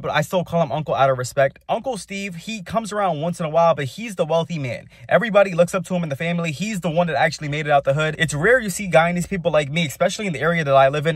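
Fast-talking young man, slangy and emphatic. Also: on the high side, no room sound, studio quality. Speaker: video host. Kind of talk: casual motivational storytelling to camera.

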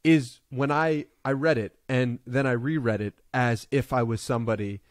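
A man in his twenties hosting a podcast interview, curious and quick to laugh, speaking into a close studio mic. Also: medium pitch, fairly even intonation, no room sound. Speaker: podcast host. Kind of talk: casual interview chat. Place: home room with bookshelves, indoors. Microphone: large studio mic up close.

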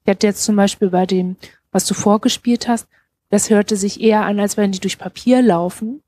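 A woman's voice: medium pitch, fairly even intonation, moderate pace, mellow, dry recording. A woman in an audience, informally putting a question and searching for her words as she goes. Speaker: audience member asking a question.

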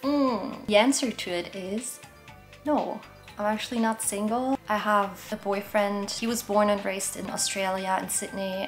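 A woman in her twenties from Germany, with a German accent touched by American, chatting casually to camera as she answers viewer questions. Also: medium pitch. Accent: German with some American influence. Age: 20s. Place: small bare indoor room. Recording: echoey room, music underneath. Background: background music.

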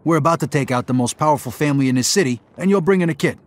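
A man's voice: on the high side, very fast, no echo, lightly acted. An actor playing a wary, world-weary male mobster who talks in a dry, skeptical way.

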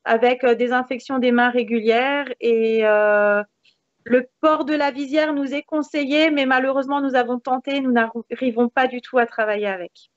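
A woman's voice: sits high, fairly even intonation, moderate pace, no room sound. A woman in her thirties giving conversational interview answers in an upbeat way over a video call. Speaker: interview guest, hair salon manager. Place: indoors in a room with a beamed ceiling. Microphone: video call, device mic. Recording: dull, lo-fi video call audio.

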